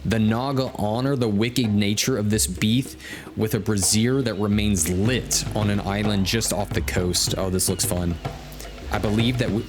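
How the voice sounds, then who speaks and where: A man giving casual, laid-back gameplay commentary as he hosts a stream, thinking aloud and reading out quest text. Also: fairly low pitch. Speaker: game livestream host. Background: game music.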